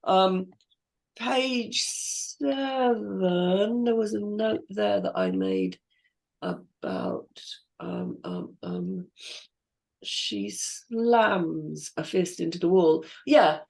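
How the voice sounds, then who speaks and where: A woman in the host's seat, talking through notes on a script at a mid pitch. She thinks aloud, hesitating and circling back with plenty of ums. Her tone is conversational and constructive. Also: animated, extremely slow, gravelly, a little mellow. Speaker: screenwriter and panel host giving script notes. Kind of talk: casual feedback discussion.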